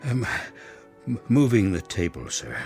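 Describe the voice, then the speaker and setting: A meek manservant in a radio drama. His voice is low and moves up and down a lot, with formal, hesitant, deferential phrasing. Faint music sits underneath. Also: big pitch swings, a little slow, gravelly, mellow, exaggerated voice. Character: deferential, hesitant male household servant.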